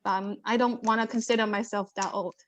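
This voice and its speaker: A woman's voice: on the high side, fairly even intonation, fairly quick. A middle-aged woman, a non-native English speaker with an accent, speaking earnestly and reflectively as a panelist giving career advice. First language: not English. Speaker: panelist, airport engineer.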